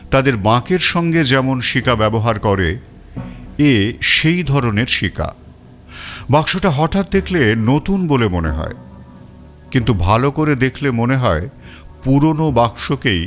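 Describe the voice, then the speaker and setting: Male audiobook narrator for detective fiction, mid-range voice, animated melody, measured pauses, light music bed underneath. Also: medium pitch. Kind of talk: scripted first-person detective story narration.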